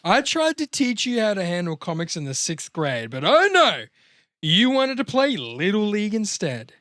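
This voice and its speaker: A man's voice: sits high, big pitch swings, moderate pace, a bit bright, no echo, studio quality, pitched above the performer's own voice. A man playing a crude, sarcastic slacker comic geek in an exaggerated comedy script read. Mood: mock-grand sarcasm.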